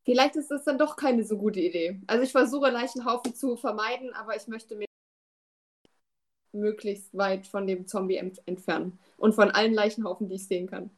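A woman's voice: mid-range voice, brisk. A woman chatting casually as a player in a tabletop game, tentative and full of questions about her moves.

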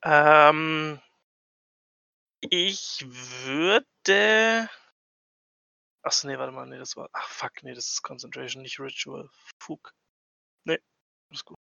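Bright, clear, higher-pitched man playing tabletop. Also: expressive, slow pace, long pauses, dry recording, lo-fi sound. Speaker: tabletop role-playing player. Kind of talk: casual game-table chat.

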